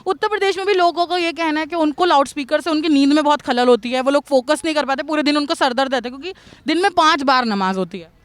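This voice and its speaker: A woman's voice: sits high, fast, no echo. A woman working as a street reporter, putting questions to passers-by outdoors. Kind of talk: vox pop interviewing with leading questions.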